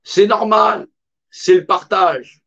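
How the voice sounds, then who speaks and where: A man's voice: sits high, slow, gravelly, pushed and bright. A middle-aged man giving an impassioned, emphatic monologue in a colloquial, repetitive way. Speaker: speaker. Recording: dull lo-fi recording.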